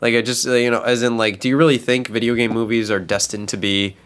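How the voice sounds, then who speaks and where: A man's voice: medium pitch, level tone, fast, fairly bright tone, clean tone. Male, mid-range voice, loose rambling panel chat with lots of repeated phrases. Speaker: guest panelist. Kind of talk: casual group discussion, joking.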